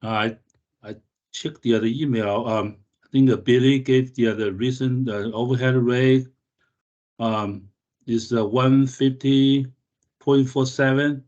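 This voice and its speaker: A man speaking haltingly as an attendee in a staff training meeting. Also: mid-range voice, steady tone, darkish tone, highs cut off. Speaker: staff attendee asking a question.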